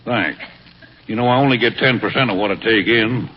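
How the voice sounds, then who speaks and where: An actor playing a grumpy, sly, miserable sidewalk Santa in an old radio comedy, griping in deadpan and bellowing weary greetings. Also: male voice, fairly low pitch, brisk, lightly acted. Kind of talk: vintage radio comedy acting. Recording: noisy, muffled old radio broadcast.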